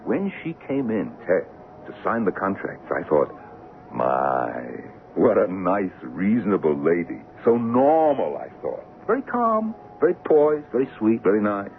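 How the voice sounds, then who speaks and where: An excitable, exasperated theater producer, swooping pitch. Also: male voice, a little slow. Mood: wry, wounded. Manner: emphatic, repetitive, effusive. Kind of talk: old-time radio drama acting. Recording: muffled, hissy old radio recording.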